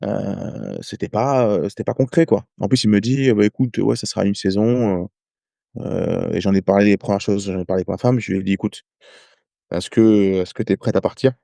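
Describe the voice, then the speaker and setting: A French man in his thirties, a rugby player guest, telling stories in casual podcast talk, halting with many hesitations and restarts. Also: fairly low pitch, creaky, mellow, no echo, studio quality. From France. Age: 30s. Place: home in Ireland.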